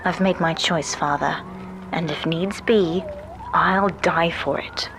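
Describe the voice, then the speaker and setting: Game role: resolute young daughter, defiant, slightly low register, unhurried delivery, noise underneath. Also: female voice, fairly even intonation. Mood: quiet defiance. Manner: deliberate, defiant. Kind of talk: dramatic video game voice acting.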